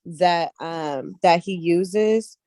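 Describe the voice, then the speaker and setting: A woman's voice: on the low side, extremely slow, mellow, dry recording, clean studio sound. A woman speaking casually as an interview guest, repeating points for emphasis and often checking that the listener follows. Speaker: interview guest, casting director and actress.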